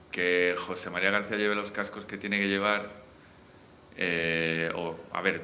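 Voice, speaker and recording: Low, bright-voiced man from Spain, echoey room. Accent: Spanish, from Spain. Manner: halting, restarts phrases repeatedly. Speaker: panelist, series director. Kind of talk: unscripted panel discussion. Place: live forum venue. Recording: echoey room, faint background noise.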